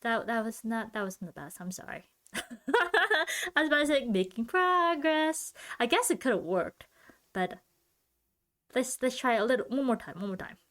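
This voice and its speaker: A woman's voice: a little high, very animated, moderate pace, fairly bright tone. Giggly woman rambling on her podcast. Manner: giggly and loopy, thinking aloud. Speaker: podcast host and streamer. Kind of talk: casual rambling solo podcast talk.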